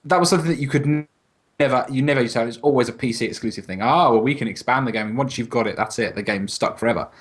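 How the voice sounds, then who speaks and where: A man's voice: medium pitch, racing. A man chatting casually about video games as a podcast panellist, swearing freely and acting out imagined quotes.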